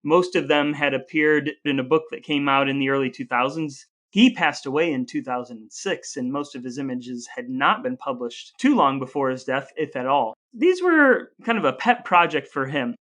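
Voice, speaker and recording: Male podcast co-host, American, slightly high voice, articulate and explanatory in relaxed discussion. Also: normal speed. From United States.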